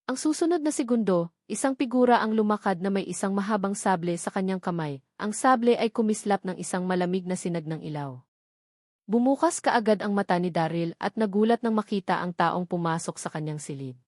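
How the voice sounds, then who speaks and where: A woman's voice: mid-range voice, brisk, a little mellow, dry recording, clean studio sound. A woman reading a novel aloud as a scripted audiobook narration.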